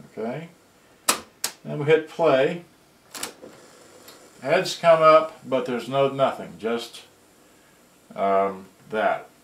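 A man talks slowly and casually, rambling and half muttering to himself as he demonstrates something. The recording is a roomy home camera take with some noise. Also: mid-range voice. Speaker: hobbyist repairer showing a vintage boombox. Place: cluttered indoor workbench.

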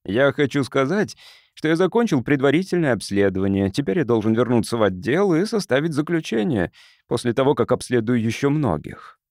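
A man's voice: mid-range voice, sing-song, fairly quick, darkish tone, dry recording, studio quality. A man voicing a smug, cold welfare investigator in an audiobook, officious and condescending. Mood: cold, dismissive. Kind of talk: audiobook character dialogue.

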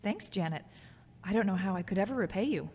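Woman as ambitious radio journalist, teasing, warm, audio drama, hall reverb. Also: medium pitch, animated, fast talker, a little hiss or hum, close to an everyday voice. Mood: agreeable.

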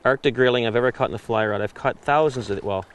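A middle-aged man hosting a fishing show on location, wading in a river and exclaiming excitedly over his catch. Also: mid-range voice, fast, a little hiss or hum. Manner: excited, exclaiming, laughing often. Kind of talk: on-location casual chat.

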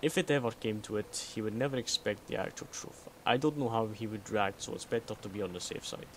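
Secretive synth spy for a game mod, played by a man: lowish voice, measured, guarded, noisy track. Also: natural voice. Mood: secretive, matter-of-fact. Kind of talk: scripted game mod dialogue. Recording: noticeable background noise.

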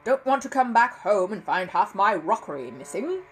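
A woman voicing a gruff, bossy older male neighbour who barks his orders loudly. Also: mid-range voice, sing-song, moderate pace, faint music behind, lightly acted. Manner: loud, barking, stern.

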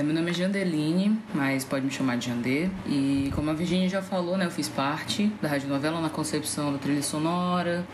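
A woman's voice: low voice, background noise. A woman with a northeastern Brazilian accent from Ceará, chatting casually and colloquially as a podcast guest. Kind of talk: casual chat.

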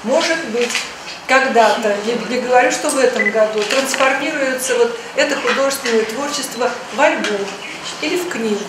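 A middle-aged woman speaks in a very echoey hall, away from the mic. Her fairly high voice has clear noise around it. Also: normal speed. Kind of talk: spoken remarks for news. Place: echoey indoor exhibition hall. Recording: echoey, distant, noisy room. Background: room noise.

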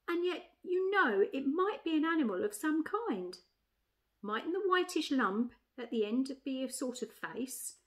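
A genteel storybook she-elephant, acted by a woman. Her voice is raised and high, with a mild, deliberate manner and a touch of prim pride. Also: sing-song. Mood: musing. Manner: mild, deliberate, primly self-satisfied.

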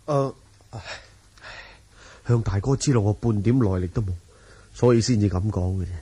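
A man acting a humble, courteous young swordsman in a Hong Kong Cantonese radio drama, husky and modest in manner. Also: fairly low pitch, normal speed, warm tone. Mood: musing, modest.

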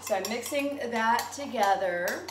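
A woman's voice: on the high side, a little slow. A woman in her forties hosting a home cooking demonstration, cheerful and instructive, talking with a child helper. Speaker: dietitian hosting a kids' cooking segment. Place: large home kitchen. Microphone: camera across the room. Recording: echoey kitchen, distant mic, background noise.

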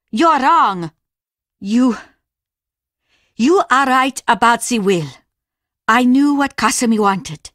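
Slow-spoken, formal older Japanese mother. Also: a little high, fairly bright tone, dry recording, clean studio sound. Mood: agitated, pleading, then mournful, defensive. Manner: formal, measured, careful delivery. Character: grieving, courteous older Japanese mother and innkeeper. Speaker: video game voice actor. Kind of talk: scripted game dialogue.

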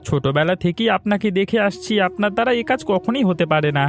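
A man's voice: high-pitched, lively intonation, fast talker, faint music behind. A man voicing a loyal, cautious village friend in a cartoon, earnest and respectful. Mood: reassuring. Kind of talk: dramatic cartoon dialogue.

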